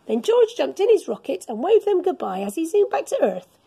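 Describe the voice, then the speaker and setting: Middle-aged woman, children's storyteller, mellow tone with big swoops in pitch. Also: fairly quick, slight background noise. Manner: animated, dramatic storytelling with gasps and whispers. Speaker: teacher reading to young children. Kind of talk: expressive picture-book read-aloud for children. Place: school classroom, indoors.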